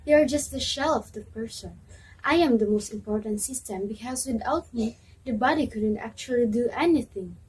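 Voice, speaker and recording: A proud muscular system argues its worth before a judge, read by a woman in an amateur educational play. The voice is high and markedly husky. The delivery is explanatory and self-important. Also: normal speed, darkish tone, low-quality recording. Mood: hurt, insistent. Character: proud, slightly hurt muscular system of a body testifying. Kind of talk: amateur reader's theater, scripted educational play.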